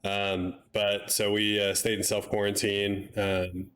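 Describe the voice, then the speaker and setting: A young man with a somewhat low voice calls a strategy match, sounding stuffed up and a little nasal. He talks in a relaxed, chatty way and drops wry asides. A faint hum runs under the recording. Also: slow pace. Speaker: game caster. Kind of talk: video game commentary.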